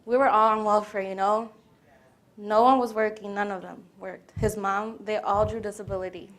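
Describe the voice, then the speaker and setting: A woman's voice: mid-range voice, fairly even intonation, normal speed, fairly bright tone. A young American woman reading a first-person testimony as a plain readers theater monologue. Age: young adult. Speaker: student performer.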